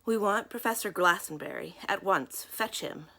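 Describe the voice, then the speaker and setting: A woman's voice: lowish voice, moderate pace, vocal fry, bright, forceful tone, full character voice. A woman voicing a pushy, threatening male detective in a storybook, with a demanding, barking delivery. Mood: angry, demanding. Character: pushy, gloomy hired detective man, impatient and threatening.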